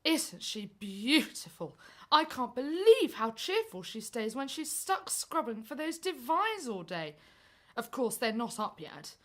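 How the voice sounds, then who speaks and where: A woman's voice: on the high side, sing-song, unhurried, a bit bright. A woman playing an eager, friendly young servant in a pantomime, chirpy and confiding. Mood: admiring. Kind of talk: stage pantomime acting.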